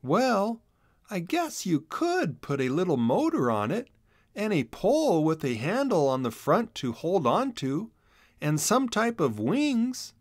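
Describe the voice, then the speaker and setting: A man voicing an excitable, curious young boy hedgehog in a children's storybook, eager and exclaiming. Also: sits high, measured pace, dry recording, studio quality, voice pushed up, full character voice. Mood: animated, eager.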